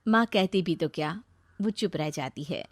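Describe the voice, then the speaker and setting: A woman's voice: fairly low pitch, animated, normal speed, no echo. A woman narrating a scripted cartoon story as the storyteller.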